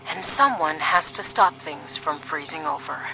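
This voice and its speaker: A woman's voice: low-pitched, expressive, normal speed, creaky, bright, forceful tone, slight background noise, heavily acted, poor audio. A woman acting as a sardonic, duty-minded young paramilitary agent in a scripted audio drama, dry and serious. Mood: weary, dry.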